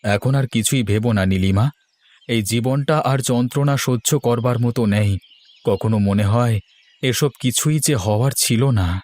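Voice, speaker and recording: A man's voice: medium pitch, a little slow, darkish tone, natural voice. A man voicing a poor, weary village farmer and father in a cartoon drama, plaintive and earnest. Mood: despairing. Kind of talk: Bengali cartoon drama dubbing. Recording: music under the voice.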